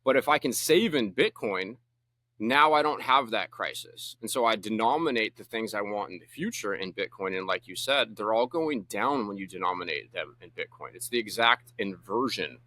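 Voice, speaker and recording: A bright, forceful man's voice explaining ideas with energy. He is from the United States and speaks as a podcast guest. The sound is dry and close. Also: on the low side, animated, normal speed, no echo. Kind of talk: conversational interview answers.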